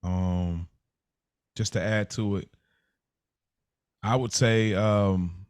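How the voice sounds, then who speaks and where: A man's voice: on the low side, fairly even intonation, very slow, a little mellow, smooth, no echo, clean studio sound. An American man hosting a podcast, talking casually and motivationally in a blunt, slangy, emphatic way. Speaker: podcast host.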